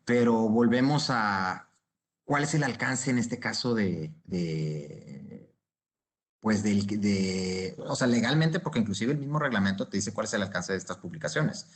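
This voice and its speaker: A man's voice: lowish voice, a little slow. A man with a Mexican accent giving informal legal commentary as a panelist, restarting phrases and checking in with short question tags.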